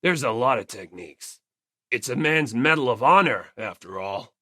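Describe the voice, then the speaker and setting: A performer voicing a brash, gruff teenage male biker gang leader in an audiobook, blunt, proud and slangy. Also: mid-range voice, expressive, normal speed, sharp tone, dry recording, studio quality. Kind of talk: audiobook character acting.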